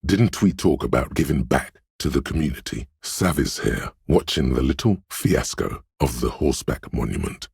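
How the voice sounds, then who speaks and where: A man voicing a suave, money-minded inner voice of vanity in a video game, very deep, gravelly and husky, slyly persuasive. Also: expressive, moderate pace, hardly any pauses, darkish tone, no room sound, clean studio sound, heavily acted. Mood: dry reproach. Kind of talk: video game voice acting, second-person inner monologue.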